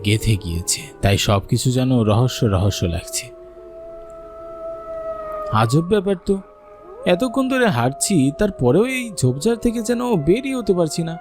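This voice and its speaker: Man acting, frightened young man, cartoon horror narration, suspenseful, higher-pitched, lively intonation, faint music. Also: moderate pace, long pauses. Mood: panicked, pleading. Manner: suspenseful, stammers in fear when speaking out. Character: frightened village-born young man, narrating his own horror tale. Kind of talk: dramatic first-person horror story narration.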